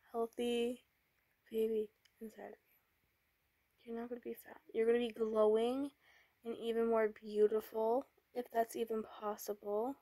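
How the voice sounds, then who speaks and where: Brief: a woman as a tender young boyfriend, breathy whisper, slow and halting, dry close sound. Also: fairly high pitch, no room sound. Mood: tender reassuring. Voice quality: soft, breathy whisper with nervous stammers. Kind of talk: whispered romance roleplay.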